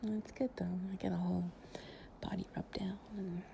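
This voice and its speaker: A woman chatting casually and intimately to the listener in an improvised relaxation roleplay, softly and breathily with drops into whispering, in a playful, sleepy, confiding way. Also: lowish voice, little pitch movement, moderate pace, slight background noise. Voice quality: soft, breathy, often dropping to a whisper. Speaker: audio roleplay performer playing a loving companion.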